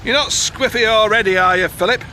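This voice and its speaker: Radio drama: blunt, teasing Northern English newspaper editor, high-pitched, with clear vehicle noise behind. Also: male voice, brisk, bright, forceful tone. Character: blunt, canny newspaper editor, man, of the 1910s. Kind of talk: audio drama acting. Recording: noisy moving-car ambience behind.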